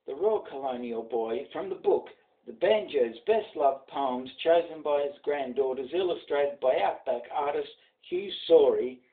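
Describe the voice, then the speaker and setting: An older Australian man reciting bush verse in a theatrical, expressive storytelling manner. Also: fairly high pitch. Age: middle-aged or older. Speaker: poetry reader and presenter. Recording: dull lo-fi sound, distant mic.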